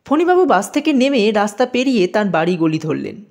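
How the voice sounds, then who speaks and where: A woman narrating a scripted audio story in an expressive storytelling manner. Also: medium pitch, fairly quick.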